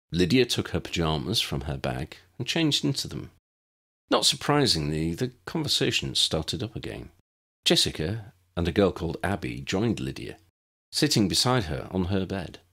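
A man's voice: sits low, sing-song, normal speed, a bit bright, husky. A man narrating a scripted audiobook story.

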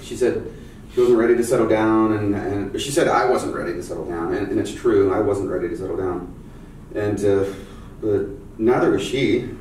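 Guy playing a heartbroken unsure dude, stammery and sweary, lowish, super echoey room. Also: moderate pace, darkish tone, slight background noise, close to an everyday voice. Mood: sheepish, regretful. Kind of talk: script table read. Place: meeting room around a long table, indoors. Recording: very echoey room, distant mic.

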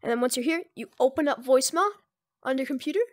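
A speaker giving informal, improvised step-by-step instructions in a casual screen-recorded software tutorial. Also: sits high, quick pace, no echo, studio quality. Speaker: tutorial presenter.

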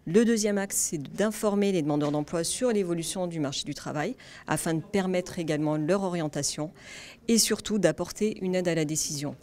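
A woman's voice: lowish voice, fairly quick. A woman in her thirties explains survey results steadily into a handheld microphone in an office. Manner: steady, explanatory, few hesitations. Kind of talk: interview for a news report. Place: office, indoors.

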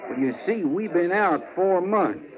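A man's voice: high voice, lively intonation, a little slow, a crowd in the background. A man with a rustic American accent playing a plain-spoken, gritty buffalo skinner in a radio western, talking in a folksy way. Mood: dawning suspicion. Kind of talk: 1950s radio western acting. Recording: narrow-band 1950s radio, background noise.

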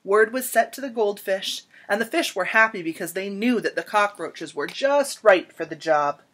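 A woman in her thirties reading a children's picture book aloud in an expressive, dramatic storytelling manner with emphatic pauses. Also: medium pitch. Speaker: storybook reader. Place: small indoor room at home.

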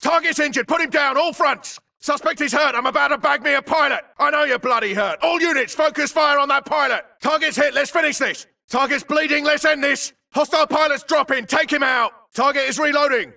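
Casting: aggressive video game grunt, urgent clipped yells, harsh gravel and hard bright edge. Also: male voice, very high-pitched, fairly quick, hardly any pauses, far from the mic, exaggerated voice. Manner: urgent, clipped battlefield yelling. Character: frantic, aggressive male grunt soldier in battle. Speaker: game voice actor. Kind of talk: shouted combat barks for a shooter game.

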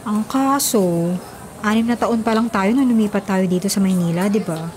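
A woman's voice: mid-range voice, moderate pace, a little mellow, a little hiss or hum, close to an everyday voice. A Filipina actress in her twenties playing a hesitant, worrying student in a radio drama. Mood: doubtful, worried. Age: 20s. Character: hesitant, worrying young female student cousin. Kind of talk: Tagalog radio drama acting. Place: small padded radio studio. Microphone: studio microphone on boom arm.

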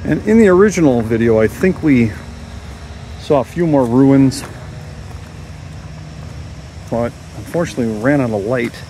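A man narrating a casual walking tour outdoors into his handheld camera, musing and reflective, with pauses. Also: medium pitch, lively intonation, mellow, slight background noise, low-quality recording. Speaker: vlog host, walking tour guide. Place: outdoors, walking a wooded path at dusk. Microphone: handheld camera held by the speaker.

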